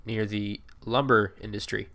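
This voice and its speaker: Low-voiced man rambling over gameplay. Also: measured pace, gravelly. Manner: relaxed, rambling, many fillers and self-corrections. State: slightly congested from allergies. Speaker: gameplay video creator. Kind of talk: casual gameplay commentary.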